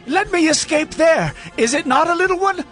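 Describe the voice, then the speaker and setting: A man's voice: very high voice, animated, moderate pace, fairly bright tone, soft background music. An actor playing a frightened, pleading family man in a biblical audio drama, urgent in manner. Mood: anxious pleading. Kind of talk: audio drama Bible acting.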